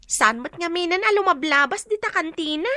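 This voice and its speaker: High, bright, insistent Ilocano daughter. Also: female voice, lively intonation, a little fast, no room sound, clean studio sound, full character voice. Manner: pressing, questioning. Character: brisk, insistent daughter collecting canteen debts. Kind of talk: Ilocano radio drama acting.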